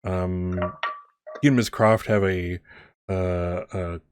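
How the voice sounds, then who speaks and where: A man's voice: low-pitched, steady tone, slow, soft background music. A man narrating a tabletop role-playing game as game master, conversational and rambling, often correcting himself.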